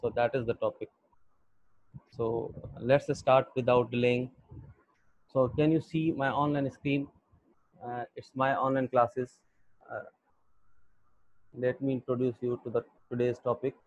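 A young Pakistani man with a Pakistani accent, lecturing an online English class in a halting way with many fillers. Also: mid-range voice, steady tone, darkish tone, dry recording. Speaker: English teacher. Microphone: computer mic on a video call. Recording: dull lo-fi video call audio.

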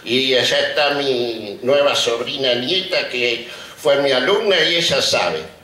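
An Argentine man of sixty-five giving an emotional, halting acceptance speech at an outdoor ceremony, pausing often as he reminisces. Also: medium pitch, expressive, pushed and bright. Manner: halting, reminiscing, with deliberate pauses. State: emotional, trembling. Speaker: retired teacher and honoree giving a speech. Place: outdoor colonial courtyard before a seated audience. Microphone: podium microphones on stands. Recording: roomy, distant public-address sound.

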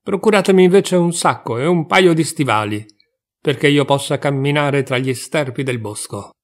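A man's voice: fairly high pitch, normal speed, natural voice. A man voicing a sly talking cat. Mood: earnest.

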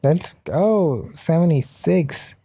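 A man's voice: fairly high pitch, animated, takes it slowly, creaky, warm tone, breathy edge. A sleepy man, not a native English speaker, casually commentating a mobile game pack opening while trying out a put-on British accent. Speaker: mobile game channel host.

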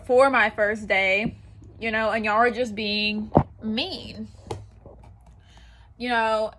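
A young woman talks straight into a phone on the floor of a small room, rambling through a story with constant "like" and "you know". Her voice is bright and a little tense. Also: medium pitch. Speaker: vlogger. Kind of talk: casual storytime.

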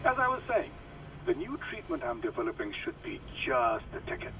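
An actor playing a scheming doctor dictating an audio log in a video game, clinical and falsely jovial. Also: male voice, mid-range voice, wildly swooping pitch, brisk, vocal fry. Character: smooth-talking, scheming doctor dictating experiment logs. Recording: distant noisy radio-like audio log.

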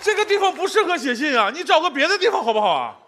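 Thirty-ish guy playing straight man, bouncy pitch, crowd roaring, loud noise. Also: sing-song, very fast. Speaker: comedian. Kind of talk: manzai stand-up duo. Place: large TV studio stage. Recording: noisy studio stage with loud crowd.

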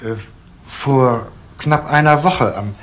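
An actor playing a formal notary in a crime radio play, speaking in a dry, measured, official manner. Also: male voice, mid-range voice, a bit bright. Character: formal, precise notary reading out documents.

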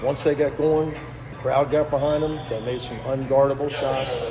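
A man's voice: mid-range voice, little pitch movement, moderate pace, over a noisy crowd, rough recording. A middle-aged man, a head basketball coach at a postgame press conference, answering reporters bluntly in short, curt, repeated sentences into a cluster of close microphones. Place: small press backdrop area, indoors. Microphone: cluster of microphones and phones close up.

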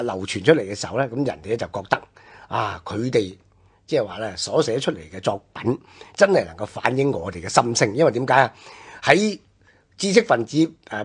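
A man with a Hong Kong Cantonese accent explaining history on a radio programme in a halting, discursive way. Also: medium pitch, slightly rough. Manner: halting, discursive, with drawn-out phrase-ending particles. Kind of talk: unscripted explanatory history talk.

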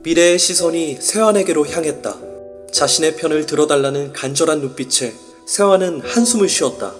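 A man's voice: a little high, brisk, music in the background, close to an everyday voice. A man narrating as a wry, musing young man in first-person inner monologue. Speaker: narrator and lead.

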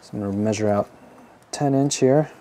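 Guy doing a diy how-to voiceover, soft mellow tone, plain spoken, walks you thru steps. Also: lowish voice, fairly quick, slight background noise. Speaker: DIY tutorial host. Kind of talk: scripted how-to narration.

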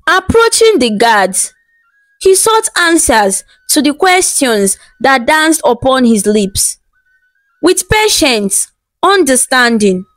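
A woman telling a scripted folktale as narrator, in a measured, dramatic storybook delivery. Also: high voice, no echo, poor audio. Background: background music.

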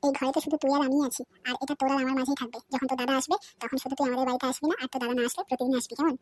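A woman voicing a brazen, lustful village sister-in-law in a comedy cartoon, with a high, teasing and coaxing voice. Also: steady tone, very fast, no room sound, natural voice. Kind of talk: Bengali comedy cartoon dubbing. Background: background music.

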